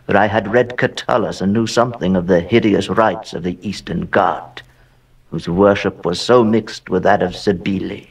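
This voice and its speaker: An actor playing an older gentleman narrator sinking into madness in a horror audio drama, speaking in literary phrases and halting, repeated words. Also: deep voice, steady tone, creaky, breathy edge, recorded from a distance, heavily acted. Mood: brooding dread.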